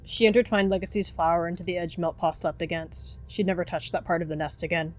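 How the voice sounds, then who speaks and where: A woman's voice: fairly low pitch, steady tone, fast. A woman reading scripted story narration for an animal fantasy roleplay.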